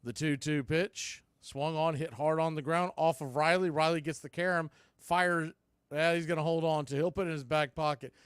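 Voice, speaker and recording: A man's voice: fairly high pitch, fairly even intonation, moderate pace, smooth, no echo, studio quality. A man doing live radio play-by-play of a baseball game as the announcer, in short clipped phrases. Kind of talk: live radio sports commentary.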